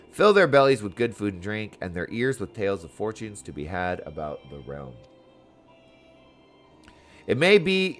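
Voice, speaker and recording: A jovial, courteous male guide from a fantasy game, acted out by a man reading aloud. His phrasing is formal and old-fashioned, and his pitch swings freely over background music. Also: fairly bright tone.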